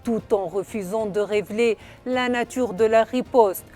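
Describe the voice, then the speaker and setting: A woman narrating a scripted news report in a formal, measured delivery. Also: a little high, mellow. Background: background music.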